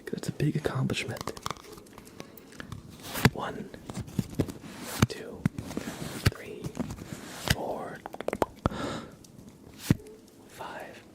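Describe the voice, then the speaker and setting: A young adult man speaking softly and gently as an ASMR livestreamer, half whispering as he chats casually to viewers. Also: very slow, pauses often, poor audio. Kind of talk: soft-spoken ASMR, casual chat with viewers.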